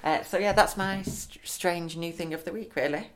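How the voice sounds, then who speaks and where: A man's voice: high voice, normal speed, heavy background noise. A young man chats casually as a podcast co-host. He is upbeat and laughs easily, with a slight lisp from new teeth. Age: 20s. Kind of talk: casual chat.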